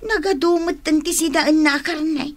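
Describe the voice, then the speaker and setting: A woman's voice: high voice, moderate pace, natural voice. A woman acting in a radio drama as a helpful, questioning younger woman of the family, with an Ilocano accent. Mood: puzzled, eager.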